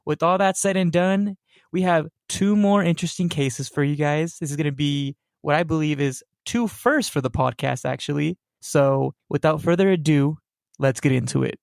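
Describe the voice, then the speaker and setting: A man's voice: fairly high pitch, normal speed, creaky, clear, resonant tone, no echo, studio quality. A young man hosting a casual supernatural podcast, rambling with fillers and tag questions like "right?". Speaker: podcast host.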